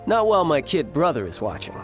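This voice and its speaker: A man's voice: a little high, huge pitch swings, fast. An actor playing a cocky, playful young male warrior who dotes on his little brother, in a video game. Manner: playful, teasing, courteous. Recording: game audio with faint music.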